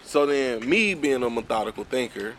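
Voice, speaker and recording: A man's voice: medium pitch, lively intonation, moderate pace, a bit bright. A young man tells a story on a casual podcast, laid-back and full of profanity, chuckling along. His voice sits mid-range, with a faint hiss of noise behind it. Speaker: podcast co-host.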